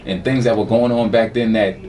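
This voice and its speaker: A man's voice: on the low side, steady tone, a little fast, mellow, far from the mic. A man co-hosting a casual podcast chat, laid-back, slangy and joking. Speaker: co-host. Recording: off-mic with faint room noise.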